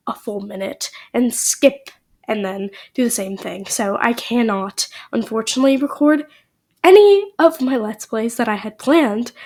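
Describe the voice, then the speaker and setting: A young woman with a cold and a slightly nasal voice, chatting casually to her viewers with lots of "like"s and "um"s. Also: on the high side. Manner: chatty, rambling, many "like"s and "um"s. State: sick, a little nasal. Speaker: YouTube creator.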